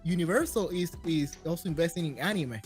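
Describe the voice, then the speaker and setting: A man hosting a casual panel chat in English, telling stories in an animated way. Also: a little high, fast, soft background music. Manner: non-native English phrasing, animated storytelling. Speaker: show host.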